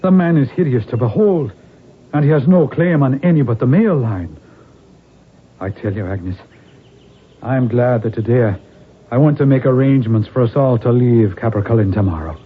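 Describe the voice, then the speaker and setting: An actor playing a fearful, fatalistic gentleman and father in a theatrical 1970s radio drama. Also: medium pitch, lively intonation, normal speed, phone-quality sound, slight background noise, close to an everyday voice. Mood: anxious. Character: doomed, fearful Irish gentleman father, last of his line. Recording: band-limited old radio recording.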